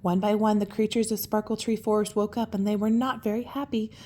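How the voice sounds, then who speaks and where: A woman reading a picture book aloud to young children, with gentle, admiring, chatty asides. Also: mid-range voice, level tone, a little fast.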